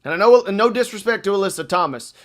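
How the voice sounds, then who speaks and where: A man's voice: high-pitched, lively intonation, quick pace. A man co-hosting a sports radio show in casual, colloquial and playful commentary.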